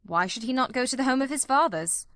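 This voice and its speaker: A woman voicing a brisk, dryly witty male detective in a Victorian story, with precise, commanding, formal speech. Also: high-pitched, lively intonation, a bit bright, no echo, clean studio sound, voice pushed up. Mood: incisive, dry. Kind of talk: dramatised reading of a Victorian detective novel.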